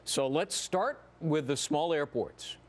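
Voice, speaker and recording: News anchor, male, American accent, slightly high-pitched, presenting and moderating panel talk. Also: takes it slowly. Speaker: news anchor and legal segment host.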